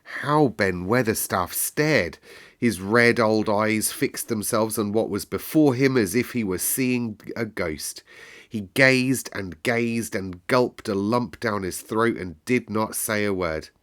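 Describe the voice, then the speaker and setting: A man reading a story aloud as narrator, expressive and dramatic, with deliberate emphasis. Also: lowish voice.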